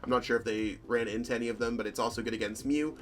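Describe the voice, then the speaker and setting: A man with a slightly hoarse voice, hosting a casual, rambling commentary over card game deck lists, full of fillers and self-corrections. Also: medium pitch, steady tone, fast.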